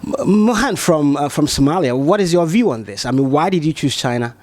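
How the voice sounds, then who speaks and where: Male host, chatty and informal, very animated pitch, slightly high voice, student interview. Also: fairly quick. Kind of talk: casual on-camera student interview. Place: student dormitory room.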